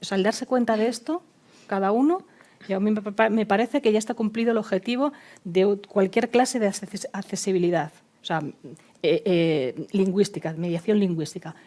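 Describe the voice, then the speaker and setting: A woman's voice: medium pitch, normal speed, warm tone. A woman speaking on an expert panel, thinking aloud with frequent fillers, restarts and repeated words. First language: not Spanish. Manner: hesitant, many fillers and restarts. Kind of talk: panel discussion.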